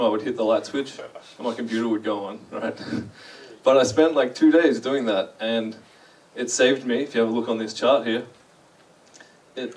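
A man's voice: mid-range voice, recorded from a distance, poor audio. A young man with an Australian accent, giving a chatty, self-deprecating conference talk and asking the audience questions. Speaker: conference speaker. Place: large dark lecture hall.

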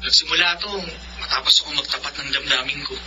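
A man's voice: fairly high pitch, fairly even intonation, fast talker, slight background noise. An actor playing an earnest, lovelorn young man, pleading emotionally in a radio romance drama. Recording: muffled audio under loud music.

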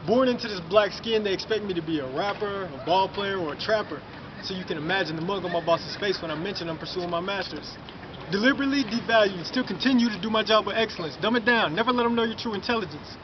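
A young man delivers rhyming spoken word poetry in a quick, high, driving voice. He is recorded outside on a city street, and the sound is dull with clear traffic noise behind him. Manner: rhythmic, rhyming, building to forceful delivery. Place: outdoors in front of a city mural.

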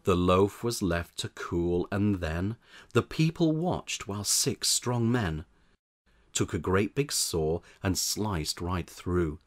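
A man narrating a children's storybook in verse, with theatrical, measured delivery. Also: sits low, animated. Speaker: audiobook narrator.

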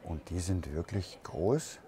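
Man, deep voice, slow pace, relaxed, thinking aloud, van tour vlog. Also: a little hiss or hum. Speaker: camper van reviewer. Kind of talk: walkaround room tour. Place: indoor trade fair hall, inside a van.

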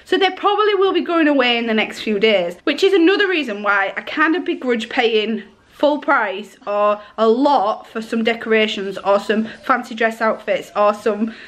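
A woman, chatting casually to a handheld camera with a croaky voice from a cold, rambling with lots of ums. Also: a little high, animated, normal speed, hardly any pauses, a bit bright. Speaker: family vlogger. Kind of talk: casual vlog chat to camera. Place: home kitchen, then shops. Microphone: handheld camera at arm's length.

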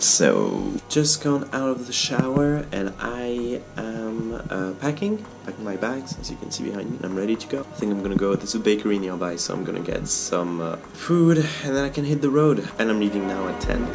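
A man's voice: fairly low pitch, vocal fry. Easygoing young man filming a road trip. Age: young adult. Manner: chatty, easygoing, thinking aloud with fillers. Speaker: travel vlogger. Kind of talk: casual road trip vlog. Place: inside a car while driving; motel room, small, indoors. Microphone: camera mounted on the dashboard.

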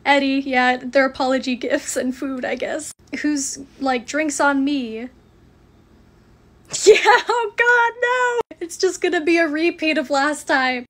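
A young woman with a high voice chats casually as she plays a reaction game. She is playful and bursts into theatrical exclamations, recorded at home on a phone. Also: lively intonation, measured pace, fairly bright tone. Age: young adult. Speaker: content creator. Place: home kitchen.

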